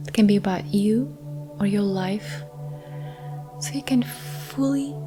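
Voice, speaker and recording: A woman guiding a sleep meditation in a soft, breathy whisper, with gentle, hushed, soothing delivery and lingering pauses. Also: medium pitch. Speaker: meditation guide. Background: immersive ambient meditation music.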